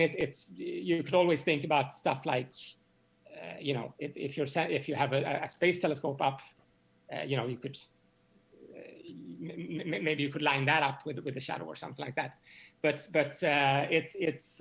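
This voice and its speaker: A man speaking as an expert interview guest, explaining in a halting way and often repeating words as he searches for phrasing. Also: a little high, fairly even intonation, vocal fry. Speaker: interview guest, astronomy professor.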